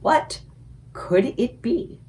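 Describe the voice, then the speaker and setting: A woman reading a children's story aloud expressively, with dramatic, suspenseful emphasis and deliberate pauses. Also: on the high side, lively intonation, warm tone. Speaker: librarian reading aloud for a book club.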